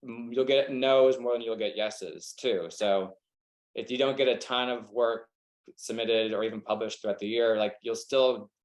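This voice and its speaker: A man's voice: medium pitch, normal speed. A young man with a Southern American accent, a wedding photographer chatting casually in a webinar, rambling and filling his talk with "like". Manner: relaxed, rambling, heavy use of "like". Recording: echoey room.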